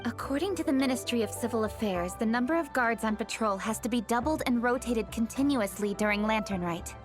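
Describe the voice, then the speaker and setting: A woman's voice: a little high, a little fast, over music, natural voice. A woman playing a poised young noblewoman leader in a video game, serious and dutiful, speaking formally and firmly. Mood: serious, explanatory. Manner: composed, formal, firm. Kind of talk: video game character acting.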